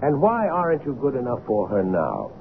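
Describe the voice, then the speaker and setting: An actor playing a painter's thoughtful male friend, telling the story in measured, dramatic old radio style. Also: medium pitch, huge pitch swings, creaky, slight background noise. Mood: probing. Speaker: narrator and supporting character. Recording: tinny old radio recording.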